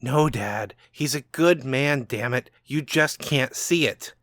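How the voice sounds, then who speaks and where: A man's voice: mid-range voice, slow, a bit bright, no echo, clean studio sound. A man voicing a grown daughter in an audiobook, heated and exasperated with her father. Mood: angry.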